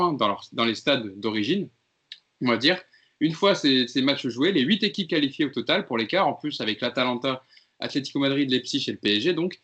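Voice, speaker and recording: A man hosting a casual football fan podcast, steering the talk and reading out the facts in a brisk way. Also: mid-range voice, fairly bright tone, lo-fi sound. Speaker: podcast host.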